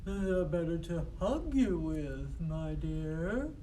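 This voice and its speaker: A woman's voice: low voice, expressive, slow, warm tone. A woman voicing a sly, hungry big bad wolf in a children's storybook, coaxing with exaggerated false sweetness. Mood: smug, hungry. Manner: exaggerated coaxing, theatrical drawl. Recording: noisy room, distant microphone.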